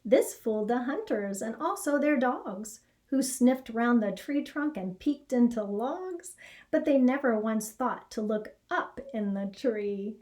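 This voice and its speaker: A middle-aged woman reading a children's story aloud in an expressive, measured storytelling manner. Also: on the high side. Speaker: storybook reader. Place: small indoor classroom or office.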